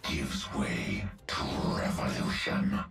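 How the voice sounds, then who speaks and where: A man's voice: takes it slowly, exaggerated voice. A man playing a menacing, brutal cyborg warlord as a video game villain, with a growling voice and grim, threatening delivery. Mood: dark menace. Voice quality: very deep, gravelly growl. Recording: loud music underneath. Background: loud music.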